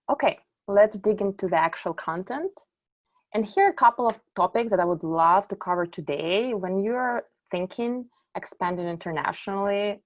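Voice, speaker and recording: A middle-aged woman from Ukraine gives a conference presentation. Her voice sits at a middle pitch. She explains in a measured way and lifts her voice on key words. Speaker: conference speaker, company executive.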